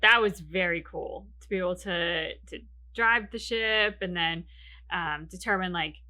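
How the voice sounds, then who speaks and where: A woman's voice: lowish voice, unhurried, sharp tone. Casual woman reacting to a game. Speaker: co-host of game cutscene reaction videos.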